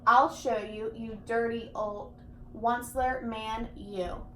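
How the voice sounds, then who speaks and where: Storybook creature, played by a woman: old, indignant forest guardian, high and scolding, plaintive, in an echoey room. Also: steady tone, takes it slowly, pushed and bright. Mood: snappish, scolding. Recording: echoey room, clear background noise.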